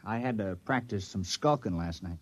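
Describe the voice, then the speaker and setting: A man's voice: lowish voice, moderate pace, dry recording, lightly acted. An actor playing a mild, simple village man in an old radio comedy, hesitant, folksy and plain-spoken. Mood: sheepish, matter-of-fact. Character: mild, simple, reluctant villager appointed town criminal. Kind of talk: 1950s radio comedy drama acting.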